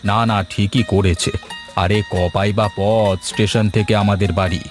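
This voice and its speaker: A man's voice: lowish voice, level tone, normal speed, over music, close to an everyday voice. A man voicing a kindly, affectionate village family man in a cartoon moral story.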